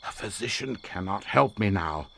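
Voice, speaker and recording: An actor playing a feverish, grandiose researcher near madness, declaiming with portentous fervor in a horror audio drama. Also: male voice, lowish voice, animated, moderate pace, gravelly, sharp tone, heavily acted. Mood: haunted, fervent. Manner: theatrical, portentous, fervent declamation.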